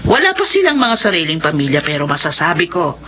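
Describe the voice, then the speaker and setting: An actress playing an emotional older sister in a Filipino radio drama, with a dramatic, emphatic way of talking. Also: female voice, sits low, huge pitch swings, fast talker, bright, forceful tone, slight background noise, full character voice. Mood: proud. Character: emotional older sister who raised the abandoned children. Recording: muffled radio drama audio with music behind.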